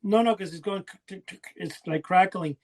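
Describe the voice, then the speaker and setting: High-pitched male podcast host, chatting. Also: brisk, creaky. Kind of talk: casual chat.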